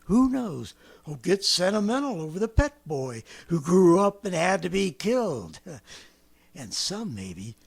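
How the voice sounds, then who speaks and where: A man's voice: high-pitched, big pitch swings, a little slow, vocal fry, slightly rough, full character voice. An actor playing a rambling, boastful former militiaman who laughs to himself as he lays out his survival plan, in 1930s radio drama style. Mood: scheming.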